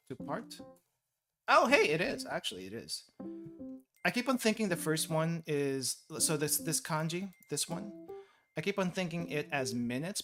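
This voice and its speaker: A young man from the Philippines, chatting casually and thinking aloud while he studies on a stream, laughing often. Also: fairly high pitch. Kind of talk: casual study stream chat. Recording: music playing behind.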